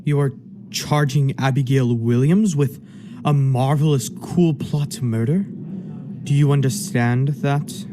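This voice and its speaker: A man's voice: mid-range voice, fairly even intonation, measured pace, noisy background, lightly acted. A man acting the part of a stern, imperious judge in a radio play, speaking in a formal, commanding way. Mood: probing. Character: stern, imperious male judge presiding over an inquiry.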